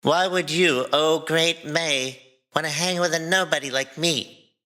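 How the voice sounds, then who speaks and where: A woman's voice: low voice, steady tone, measured pace, pushed and bright, pitched below the performer's own voice. A woman voicing a cocky, teasing teenage boy with smug, good-natured sarcasm in a fan fiction reading. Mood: sarcastic.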